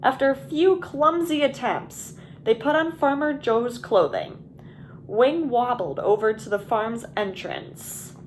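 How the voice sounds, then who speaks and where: A woman teacher reading a picture book aloud to young children in a deliberate, expressive storytelling way. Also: fairly high pitch, slight background noise.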